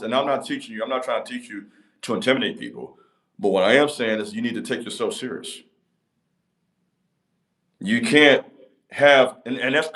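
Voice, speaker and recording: A man, giving a motivational talk to camera in an emphatic, repetitive cadence. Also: fairly bright tone. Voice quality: deep voice. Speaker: channel host.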